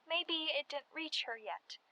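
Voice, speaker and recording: A woman's voice: sits high, lively intonation, slow, bright, forceful tone, heavily acted, low-quality recording. A young woman playing a chatty but weary and frightened college student from 1918 in a radio play. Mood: haunted, uneasy. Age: young adult. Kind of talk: dramatized letter monologue, period radio play.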